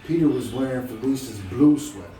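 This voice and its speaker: A man reading a storybook aloud in an encouraging, conversational manner, pausing to check that the listener follows. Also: mid-range voice. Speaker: storybook reader. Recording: very echoey, distant mic, music behind.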